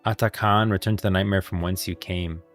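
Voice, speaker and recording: A man's voice: deep voice, steady tone, brisk. A man livestreaming casual, emphatic reaction commentary on game lore, often repeating himself. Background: faint music.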